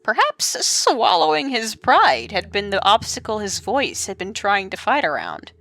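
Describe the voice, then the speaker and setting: Animated woman narrating an audio drama. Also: medium pitch, a little slow, dry recording.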